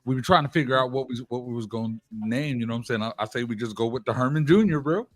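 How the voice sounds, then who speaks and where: A man's voice: mid-range voice, fast, dry recording. A man hosts a radio interview in a loose, conversational way. His talk is slangy and full of little check-in phrases. The sound is dry, with little room on it. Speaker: radio show host. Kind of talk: casual interview chat.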